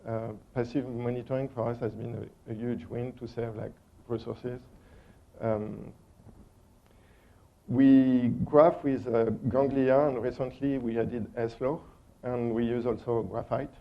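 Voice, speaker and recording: A man's voice: mid-range voice, fairly even intonation, measured pace, gravelly, slight background noise. A man with a strong French accent giving a technical conference talk, hesitant and full of ums and uhs. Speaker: conference speaker. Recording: dull lo-fi conference recording.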